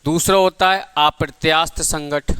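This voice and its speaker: A man's voice: a little high, fairly even intonation, normal speed, fairly bright tone, clear, resonant tone, dry recording. A man teaching an exam-prep physics lesson, asking the class questions and answering them himself, calling his listeners "beta" and "bhai".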